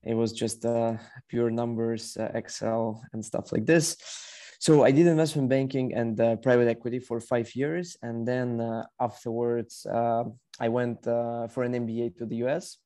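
A man's voice: mid-range voice, steady tone, normal speed, warm tone. A young man from Ukraine with a Ukrainian accent, answering as an executive guest in a conversational podcast interview. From Kyiv, Ukraine.

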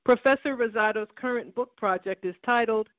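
Male radio host, steady scripted read, high pitch, dry sound. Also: normal speed, no room sound, narrow, tinny audio. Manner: steady, announcer-like. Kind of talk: scripted news narration.

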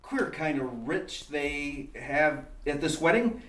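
A woman's voice: sits low, lively intonation, slow, a bit bright, full character voice. A woman playing a witty male detective husband in a 1940s-style radio play, with dry, teasing banter. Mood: calm. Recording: echoey room, distant mic, music behind.

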